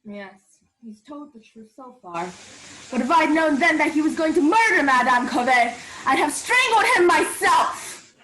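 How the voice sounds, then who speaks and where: Woman playing a petulant, jealous English gentleman. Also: high-pitched, animated, a little slow, slight background noise, exaggerated voice, poor audio. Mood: sullen, then furious. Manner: blunt, petulant. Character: hot-tempered, jealous English gentleman, sullen and blunt. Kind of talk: 1940s radio play acting.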